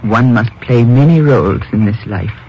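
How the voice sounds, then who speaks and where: A man's voice: mid-range voice, slight background noise, lightly acted. Man acting solemn jungle sage, muffled old radio. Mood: solemn, knowing. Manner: measured, formal, cryptic. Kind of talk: 1950s radio drama acting.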